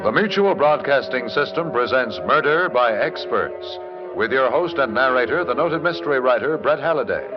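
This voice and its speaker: A man's voice: mid-range voice, lively intonation, normal speed. Muffled vintage American male radio announcer. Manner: formal, declamatory. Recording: muffled old radio recording with music.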